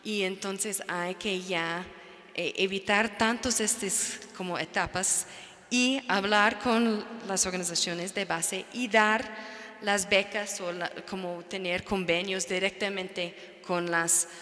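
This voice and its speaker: A woman whose first language is English, speaking as a panelist in an academic talk, halting and searching for words. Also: medium pitch, steady tone, sharp tone. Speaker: panelist, NGO researcher. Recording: reverberant lecture hall.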